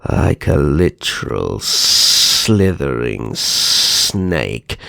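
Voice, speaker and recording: A man playing a sly, sadistic vampire naga kidnapper in an ASMR roleplay, speaking with mock courtesy and slow theatrical menace. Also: very deep voice, sing-song, creaky, sharp tone, no room sound, heavily acted. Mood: smug. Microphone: close microphone, dry.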